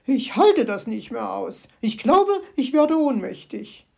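A woman voicing an excitable, fussy female magpie in a storybook, with shrill, agitated exclamations and a creaky, husky voice. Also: measured pace, heavily acted. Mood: panicked. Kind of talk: storybook character voice.

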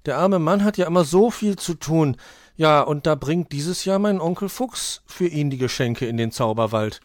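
Man playing small young fox, storybook, friendly, curious, high, animated. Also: normal speed, no echo, clean studio sound, lightly acted. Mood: protesting gently. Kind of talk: children's story character voice.

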